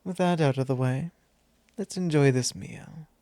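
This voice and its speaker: A suave vampire lord, acted out by a man in an intimate ASMR roleplay, speaks with mellow, gravelly courtliness. He is possessive and softly commanding, teasing as he goes, and sounds very close and dry. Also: medium pitch, measured pace, no room sound. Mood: smooth, curious.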